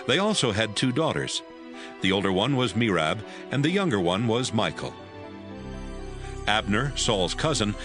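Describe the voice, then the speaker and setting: A man narrating scripture in a scripted, dramatized audio Bible style. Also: on the low side.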